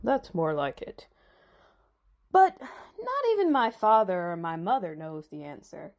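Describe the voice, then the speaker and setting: A woman's voice: lowish voice, big pitch swings, measured pace, darkish tone. A woman playing a brash, boastful young strongman in a Bible story dramatization, with swaggering, slangy delivery. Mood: indignant. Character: brash, cocky young strongman Samson, boastful and hot-headed.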